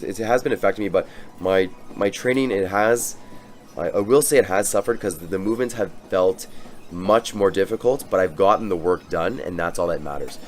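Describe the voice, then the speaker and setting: Hoarse young man with a very deep voice. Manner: relaxed, rambling, many fillers and restarts. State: hoarse from a cold. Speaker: fitness vlogger. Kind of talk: voice-over narration of workout footage. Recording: faint music behind the voice.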